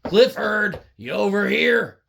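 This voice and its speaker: Husky low woman doing improvised kids story time, creaky, slow n casual, mock tired. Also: expressive, slight background noise. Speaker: puppet show storyteller. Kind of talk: improvised children's storytelling, talking to viewers.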